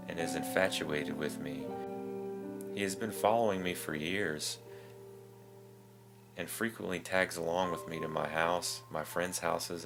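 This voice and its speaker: Male narrator for creepy true-story readings, low-pitched, over a bed of plucked guitar music. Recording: music behind the voice.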